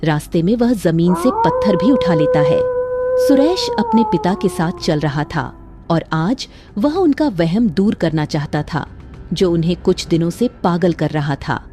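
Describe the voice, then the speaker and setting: A woman's voice: fairly low pitch, big pitch swings, a little slow, over music. A woman reading a scripted moral story as a dramatic narrator.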